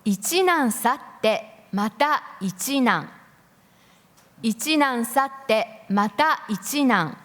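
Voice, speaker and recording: A woman with a fairly high voice reads contest phrases aloud. She takes them slowly and deliberately, and the sound echoes around a big hall. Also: expressive, fairly bright tone. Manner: deliberate, repeats each phrase. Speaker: reader of Japanese contest phrases. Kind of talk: careful read-aloud. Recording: echoey hall with long reverb.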